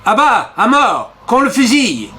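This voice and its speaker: A man voicing a furious revolutionary mob, with shrill, bellowing cries for death. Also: lively intonation, a little slow, sharp tone, exaggerated voice. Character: furious shouting revolutionary mob, men and women.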